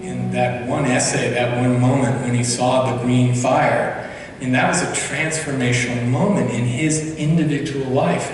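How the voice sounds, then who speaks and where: A man speaking reflectively in an interview. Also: medium pitch. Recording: echoey hall playback, distant, faint noise.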